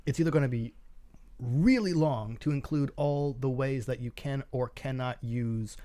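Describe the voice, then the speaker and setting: A man with a medium-pitched voice talks casually on a panel. He reasons aloud in a skeptical, questioning way, explaining as he goes. The recording is very dry. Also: normal speed, mellow, dry recording. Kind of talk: casual discussion.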